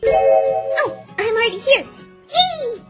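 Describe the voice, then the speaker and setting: A woman voicing a bubbly, childlike, scatterbrained cartoon pony with excitable, cutesy talk. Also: extremely high pitch, takes it slowly, loud music behind the voice, heavily acted. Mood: excited.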